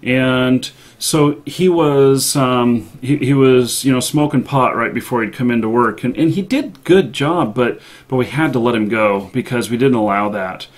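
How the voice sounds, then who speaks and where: A man hosting a live stream in an unscripted, chatty way, speaking haltingly with long pauses. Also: mid-range voice. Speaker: live show host.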